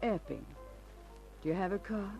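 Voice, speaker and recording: A woman's voice: mid-range voice, normal speed, a little mellow, natural voice, rough recording. A woman playing a sly, flirtatious noir dame. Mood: cool, teasing. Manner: coy, teasing. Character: flirtatious, sly blonde femme fatale. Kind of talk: old-time radio crime drama acting. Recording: old radio recording with faint music.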